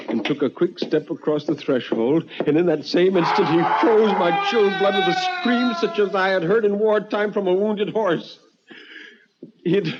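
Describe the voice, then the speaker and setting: An actor playing a frightened, haunted man who tells a ghost story in a theatrical, halting way, like old radio horror. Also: a little high, highs cut off, background noise, natural voice. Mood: dread, then shaken, relieved. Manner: theatrical, halting with stammered repeats. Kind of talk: dramatic old-time radio horror narration.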